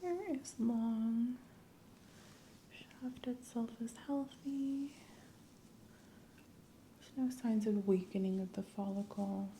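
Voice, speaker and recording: Soft, mellow woman whispering in ASMR. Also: fairly high pitch, fairly even intonation, takes it slowly, long pauses, a little hiss or hum. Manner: soft-spoken, often whispering, gentle, clinical. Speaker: ASMR performer playing a medical examiner. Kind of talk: ASMR medical exam roleplay.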